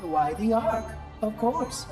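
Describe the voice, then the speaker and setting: A man's voice: high voice, very animated, slow, distant mic, over music, heavily acted. A man voicing an ancient, pedantic robotic AI that explains things in a precise, matter-of-fact way. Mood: explaining.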